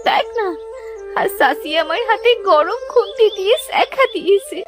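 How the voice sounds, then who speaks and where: A woman's voice: extremely high pitch, lively intonation, normal speed, music in the background. A woman voicing a sad, meek orphan village girl in a cartoon, plaintive and pleading. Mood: sorrowful. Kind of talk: melodramatic cartoon voice acting.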